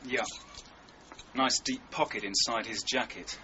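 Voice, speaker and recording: A man playing a brisk, observant detective in a naturalistic radio drama, speaking in a quiet, clipped, businesslike way. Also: medium pitch, steady tone, a little hiss or hum. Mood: brisk, intent. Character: brisk, observant detective examining a crime scene. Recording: dull radio recording, faint outdoor ambience.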